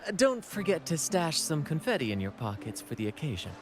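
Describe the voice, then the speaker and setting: A showy, upbeat ship's host in a game cutscene, bouncy lively pitch. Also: male voice, fairly quick, music in the background. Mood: cheerful. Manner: flamboyant, cheerful.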